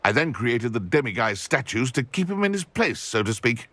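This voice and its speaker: A spiteful, smug British man in a video game. His delivery is haughty and sneering, with very animated pitch. The tone is bright and cutting, in a dry recording. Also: normal speed, no echo. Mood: gloating. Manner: haughty, sneering, fussily articulate. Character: spiteful, smug grown man nursing an old schoolboy grudge. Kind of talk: dramatic video game voice acting.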